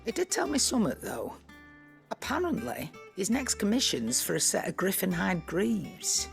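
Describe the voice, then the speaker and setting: An actress with a Northern English accent playing a blunt, wry market trader in a fantasy video game. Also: female voice, mid-range voice, wildly swooping pitch, moderate pace, vocal fry, faint music behind. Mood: matter-of-fact. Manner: blunt, wry, plain-spoken. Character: dry, no-nonsense female market trader in fantasy.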